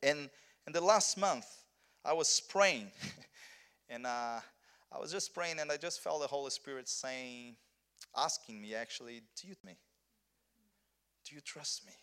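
A man's voice: medium pitch, fairly bright tone. A Brazilian man, a Portuguese speaker preaching in English at a conference, earnest, with many restarts and repeated words. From southern Brazil. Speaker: pastor. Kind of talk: conference sermon.

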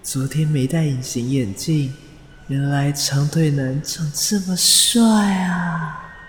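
A man's voice: fairly high pitch, takes it slowly, slight background noise. An actor playing a cute, muddled young male dentist in a radio drama, boyish and stammering when flustered, with a Taiwanese Mandarin accent. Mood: puzzled, shy. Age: young adult.

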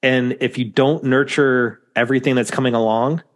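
A man's voice: medium pitch, fairly even intonation, moderate pace. A man hosting a podcast in casual chat, rambling with lots of fillers. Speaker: podcast host.

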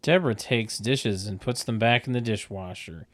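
A man's voice: lowish voice, moderate pace. A man reading stage directions at a comedic table read, with wry, mocking asides.

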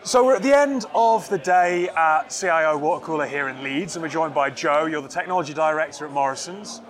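Bloke interviewing people at a conference, slightly high voice, chatty. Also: male voice, a little fast. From North East England. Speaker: interviewer at a tech event. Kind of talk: conversational interview.

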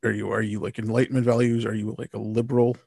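A man hosting an interview, casual and animated, with plenty of fillers. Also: lowish voice, fast, creaky, slightly rough, no echo, clean studio sound. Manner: animated, loose with fillers like "like" and "you know". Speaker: host. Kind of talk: conversational interview talk.

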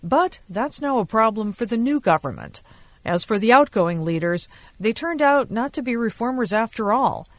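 A woman's voice: a little high, sing-song, normal speed, dry recording. Woman reporter, dry close studio sound. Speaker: foreign correspondent. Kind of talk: scripted radio news report narration.

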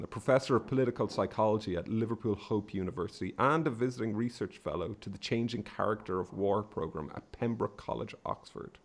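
A man's voice: lowish voice, gravelly, a little hiss or hum. A man hosting a research podcast, reading a scripted introduction of his guest with small stumbles. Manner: reads intro, slight stumbles. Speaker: podcast host.

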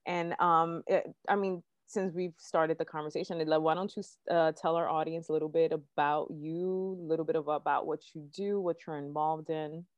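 A woman's voice: sits low, steady tone, normal speed, few pauses, no echo. A woman hosting a podcast, welcoming a guest with enthusiasm and rambling chatty asides. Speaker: podcast host. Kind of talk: casual podcast chat. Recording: dry, dull lo-fi sound.

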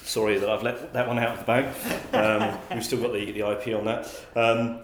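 A man speaking in a fairly low voice, talking through his answers in a measured way. He often restarts and repeats words as he thinks. The sound is echoey, with a faint hiss behind him. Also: level tone. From England. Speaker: guest, magazine publisher. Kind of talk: conversational interview answers.

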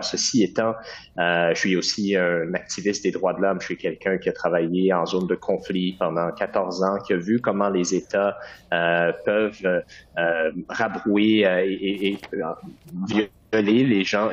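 Deep husky Québécois man, muffled line. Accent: Québécois French. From Quebec. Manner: earnest, many hesitations and restarts. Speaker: political candidate. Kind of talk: interview answers, political talking points.

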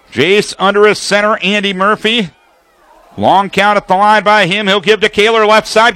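A man's voice: sits high, sharp tone, smooth, dry recording. An American man calling live football play-by-play for radio, describing formations and action in a detailed running commentary.

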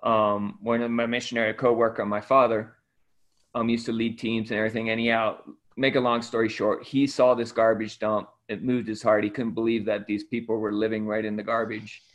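A man's voice: fairly low pitch, level tone, brisk. A middle-aged man from Ecuador, an interview guest telling his story conversationally, on a slightly dull recording. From Ecuador, raised by missionary parents. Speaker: interview guest, missionary aid worker.

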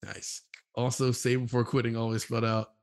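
A man hosting a podcast in casual chat, laid-back and quick to laugh, running through the show's plugs. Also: mid-range voice, monotone, clean tone. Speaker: podcast host.

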